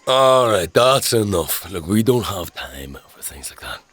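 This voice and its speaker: A man playing a brooding, reluctant fantasy hero burdened with dark powers, hesitant, guarded and blunt in an audio drama. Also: low voice, sing-song, measured pace, husky, full character voice. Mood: defensive, frustrated.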